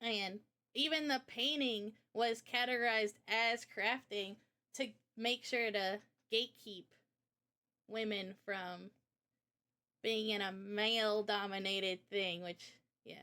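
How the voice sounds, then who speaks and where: A woman's voice: medium pitch, steady tone, slow pace, pushed and bright. A woman in a casual livestream chat, explaining her ideas in an informal, opinionated way. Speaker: streamer and game developer.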